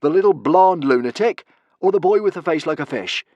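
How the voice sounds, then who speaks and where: A man voicing a maniacal, gloating Viking villain for a storybook, bellowing in drawn-out, grandiose phrases. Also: fairly high pitch, animated, a little mellow, no room sound, highs cut off, voice pushed up, natural voice. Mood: gloating menace.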